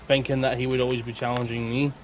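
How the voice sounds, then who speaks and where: Mid-pitched man in casual chat. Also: little pitch movement, brisk, a little hiss or hum. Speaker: podcast participant.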